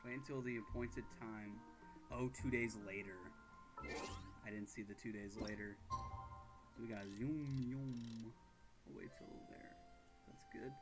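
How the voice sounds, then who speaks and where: A man streaming a video game, chatting casually and muttering in a low-key, offhand way. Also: slow, creaky, long pauses, rough recording. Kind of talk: casual livestream chat over gameplay. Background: game music.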